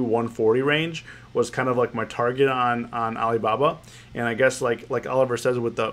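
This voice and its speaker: A man's voice: medium pitch, a bit bright. Man, nasal, stuffy with a cold, rambling livestream chat, repeats himself. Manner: rambling, repeats phrases, greets viewers by name. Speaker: options trading livestream host. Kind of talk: casual livestream commentary, reading chat.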